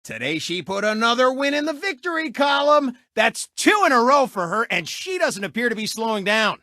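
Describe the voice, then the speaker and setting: A man's voice: very high-pitched, very animated, normal speed, pushed and bright, dry recording, clean studio sound, exaggerated voice. A man voicing a cocky, gloating cartoon race car who hosts a sports show in a video game, brash and theatrical. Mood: showy sportscasting. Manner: brash, smug, theatrical showman. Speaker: racer and TV racing commentator. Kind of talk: animated video game sports commentary and taunts.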